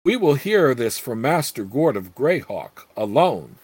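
A man's voice: mid-range voice, sing-song, a little slow, a little mellow, breathy edge, dry recording. Man reading as a stern old count. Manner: dry, steely, commanding. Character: stern gray-bearded old male count.

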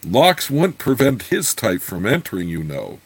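A man's voice: lowish voice, huge pitch swings, a little slow, slightly rough, dry recording, heavily acted. Man putting on a meek young country girl. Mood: conspiratorial. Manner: deferential, confiding.

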